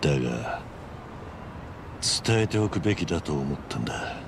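An actor playing a stoic middle-aged fighter in a video game, speaking in a terse, gruff, restrained way. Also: male voice, rumbling low, sing-song, moderate pace, noisy background, full character voice. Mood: grave, resolute. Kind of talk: video game drama acting.

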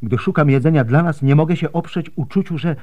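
A man's voice: on the high side, brisk, dull audio, lightly acted. An actor playing a worried, protective Byzantine husband and father in a radio drama, speaking earnestly. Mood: anxious, urgent.

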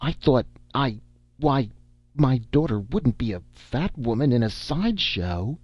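Man voicing a flustered, raspy gentleman father. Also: deep voice, animated, moderate pace, full character voice. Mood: shocked. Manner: exclamatory, flustered. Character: wealthy lonesome father, flustered and appalled, pompous gentleman. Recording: dull, roomy amateur audiobook recording.

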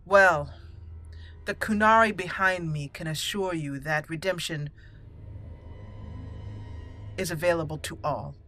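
A woman hosting a roleplay let's play, musing deliberately and slipping into her character's dialogue. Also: low-pitched, sharp tone, soft background music. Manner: musing, deliberate, thinking aloud. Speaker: gaming channel host roleplaying a character.

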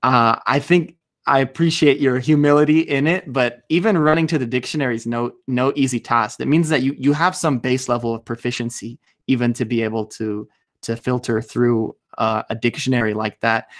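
A man's voice: medium pitch, fairly even intonation, normal speed. A young man hosting a podcast over a video call from a small room at home, talking thoughtfully with frequent restarts and fillers. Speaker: podcast host. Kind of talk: casual video-call interview. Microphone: studio mic with headphones.

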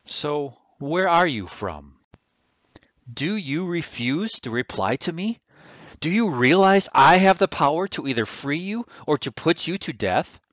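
Guy doing a haughty roman governor, slow and commanding, big swings in pitch, radio play. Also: expressive, fairly bright tone, dry recording. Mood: questioning, stern. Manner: imperious, deliberate. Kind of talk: amateur biblical radio drama acting.

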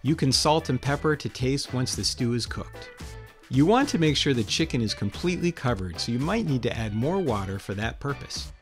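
A man in his fifties hosting a cooking show, calmly explaining recipes step by step in a home kitchen. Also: mid-range voice, moderate pace, soft background music. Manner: friendly, explanatory, step-by-step instructions. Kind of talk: casual cooking demonstration.

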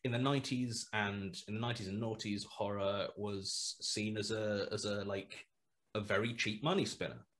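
Guest on a video call, lowish voice, talks in loose, restarting bursts. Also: male voice, moderate pace. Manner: rambling, many restarts, animated asides. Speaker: guest, horror podcast writer. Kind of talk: casual video call interview. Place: small home room indoors. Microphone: headset mic on video call.

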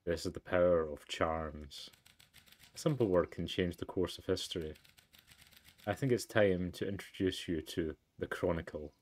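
A man's voice: sits low, normal speed, a little mellow, slight background noise. A man doing let's play commentary and reading a game's narration aloud. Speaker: let's player. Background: game music.

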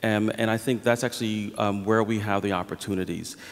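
A man with a low voice speaks earnestly on a panel, often pausing on um. The sound carries the long reverb of a large theater stage. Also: steady tone. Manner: earnest, with many um fillers. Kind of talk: panel discussion.

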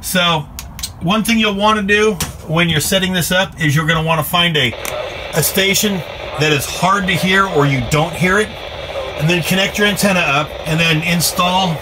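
A man's voice: high-pitched, moderate pace, noisy background. A middle-aged American man giving a casual, folksy, rambling how-to explanation, recorded on a phone at arm's length inside a small metal trailer. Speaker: DIY vlogger. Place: inside a small aluminum cargo or horse trailer.

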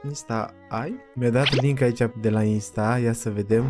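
A man's voice: mid-range voice, fairly even intonation. A young man talking casually as a gaming YouTuber, close on a desk microphone. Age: young adult. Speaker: YouTube host and gamer. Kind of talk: casual gaming vlog commentary. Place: small room indoors. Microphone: close desk microphone on a boom arm. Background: music.

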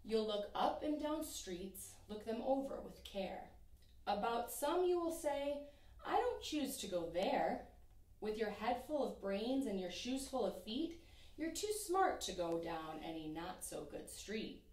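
A young woman teacher reading a rhyming children's picture book aloud in an expressive, sing-song way. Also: mid-range voice, unhurried, distant mic. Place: small room in front of a painted backdrop. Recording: echoey room, voice from off-mic.

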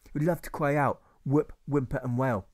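A man's voice: mid-range voice, fairly even intonation. Man reading rhyming verse. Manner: rhythmic, measured. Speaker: author reading his own novel. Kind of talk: rhyming audiobook narration.

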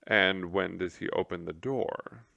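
A man's voice: sits low, unhurried, vocal fry, pushed and bright, no room sound, dull audio, exaggerated voice. A man voicing a practical, determined male mouse who speaks plainly and steadily. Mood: persistent.

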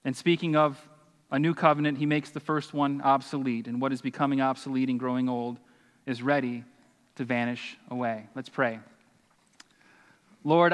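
A man's voice: a little high, fairly bright tone. A man in his forties preaching and praying on a church stage, earnest and emphatic, with asides to the congregation. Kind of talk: sermon with scripture reading and prayer. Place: church stage, large hall. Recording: long reverb of a large hall.

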